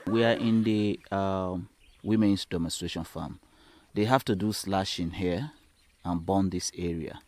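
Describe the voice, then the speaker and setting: A man's voice: deep voice, measured pace, a little mellow. A man with a Sierra Leonean accent explaining practical farming steps as a trainer in an instructional film. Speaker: agricultural trainer.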